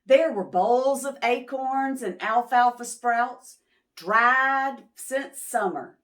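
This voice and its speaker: Female picture-book narrator and host: higher voice, unhurried, explains and questions simply for little kids. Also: fairly bright tone. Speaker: children's storyteller, channel host. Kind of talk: picture-book read-aloud, talking to preschoolers.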